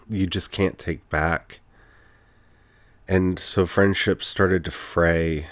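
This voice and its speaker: Very deep, earnest podcast host. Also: dry recording. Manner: earnest and heartfelt, frequent "you know" fillers. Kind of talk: reflective solo podcast monologue.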